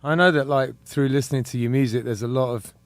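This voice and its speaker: A man hosting a music podcast, asking questions in an easygoing, halting way with lots of fillers. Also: medium pitch, fairly even intonation, dry recording. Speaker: podcast host. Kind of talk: casual interview.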